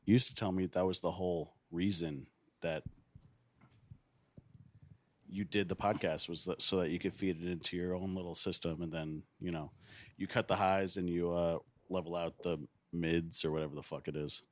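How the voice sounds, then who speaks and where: Low-voiced man, rambling casually. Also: fairly even intonation, normal speed, no room sound. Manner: rambling, profane. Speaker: podcast guest. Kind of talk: casual banter.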